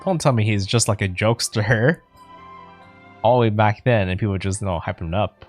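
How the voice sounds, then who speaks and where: A man's voice: lowish voice, moderate pace, a little mellow, soft background music. A man doing casual let's play commentary, thinking aloud in a hesitant, musing way. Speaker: game streamer.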